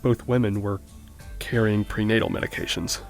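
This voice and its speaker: Man playing low-voiced, deferential junior policeman. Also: flat delivery, normal speed, faint music behind, natural voice. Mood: grave. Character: dutiful male junior police officer, deferential. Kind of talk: horror audio drama acting.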